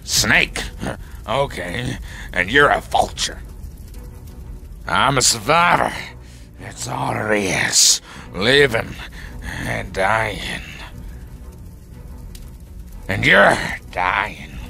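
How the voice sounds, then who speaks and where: A gravelly, sneering outlaw villain. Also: male voice, lowish voice, lively intonation, extremely slow, long pauses, sharp tone, full character voice. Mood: menacing, taunting. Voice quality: gravelly, rasping. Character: sneering, treacherous outlaw villain, cruel and taunting. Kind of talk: video game fan dub acting. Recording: music under the voice.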